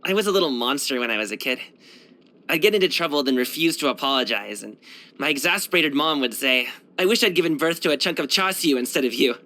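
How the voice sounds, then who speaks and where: A man's voice: a little high, lively intonation, fast talker, sharp tone. A man voicing a brash, cheerful young martial arts dancer and guard in a video game, chatting casually in a slangy, boyish, self-assured way. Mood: playful, warm. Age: young adult. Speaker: video game character voice actor. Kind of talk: casual, conversational game voice lines.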